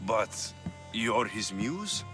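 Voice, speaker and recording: A man's voice: fairly low pitch, big pitch swings, extremely slow, music in the background, heavily acted. A man playing a determined ancient Greek mercenary in a video game cutscene, blunt and no-nonsense. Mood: earnest. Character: gruff, determined ancient Greek mercenary hero, forthright and protective.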